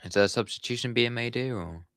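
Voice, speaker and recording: A man's voice: mid-range voice, quick pace, fairly bright tone, smooth, dry recording. A young man giving live football commentary on his stream, chatty and talking to his viewers in the chat. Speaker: watchalong streamer.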